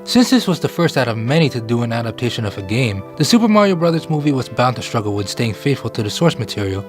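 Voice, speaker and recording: A man hosting a video essay, delivering scripted narration. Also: mid-range voice.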